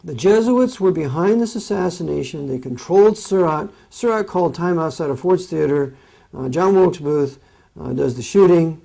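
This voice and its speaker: Male history commentator, slightly high voice, matter-of-fact, unscripted step-by-step telling. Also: expressive, moderate pace, vocal fry, mellow.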